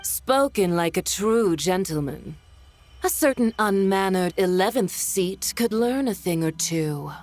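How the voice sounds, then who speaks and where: A woman's voice: mid-range voice, lively intonation, unhurried, no room sound, natural voice. An actress gives a haughty female noble a cool, arch, teasing delivery. She takes her time over every word. Faint game music plays beneath. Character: haughty, poised female noble, sharp-tongued. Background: soft game music.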